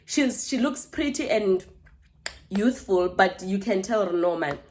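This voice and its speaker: A woman's voice: mid-range voice, animated, takes it slowly, darkish tone, a little hiss or hum. A woman in her twenties with a South African accent, telling a personal story casually to camera as a vlogger, chatty and full of asides to her viewers. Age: 20s.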